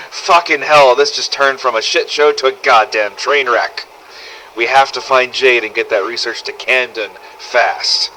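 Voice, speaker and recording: Man acting brash, sharp-voiced zombie apocalypse survivor. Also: medium pitch, a little hiss or hum, close to an everyday voice, rough recording. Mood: angry, exasperated, then subdued, determined. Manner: blunt, sardonic, swearing, conversational storytelling. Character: brash, foul-mouthed adult male survivor in zombie apocalypse. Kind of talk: scripted first-person audio log narration.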